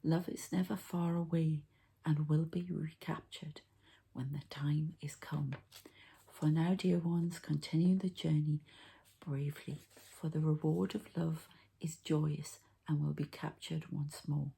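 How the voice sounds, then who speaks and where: Woman, breathy ASMR whisper, gravelly creak, soft dark tone, gentle healing host. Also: low-pitched, level tone, measured pace. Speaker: ASMR host and energy healer.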